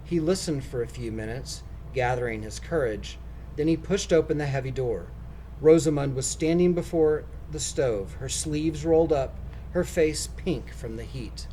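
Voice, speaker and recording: A man reading a children's storybook aloud, narrating with expressive, deliberate phrasing. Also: a little high, a little hiss or hum, low-quality recording. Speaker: storybook reader for schoolchildren.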